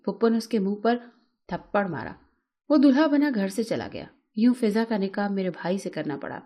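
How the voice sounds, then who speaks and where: A woman's voice: a little high, mellow. A woman reading a scripted, emotional first-person story as a narrator, in a dramatic storytelling manner.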